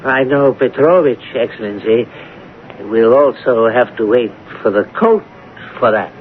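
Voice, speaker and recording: A man's voice: mid-range voice, animated, unhurried, lightly acted. An actor playing a tactful, deferential male office subordinate in a radio drama, speaking carefully and hesitantly. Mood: cautious, apologetic. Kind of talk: 1970s radio drama acting. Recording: tinny, noisy old radio recording.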